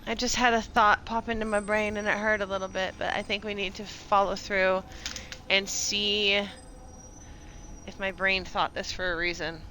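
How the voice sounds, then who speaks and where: A woman's voice: medium pitch, little pitch movement, moderate pace, bright, forceful tone, a little hiss or hum. A woman doing casual live game commentary, chattily thinking aloud and reacting as she plays. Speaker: video game streamer.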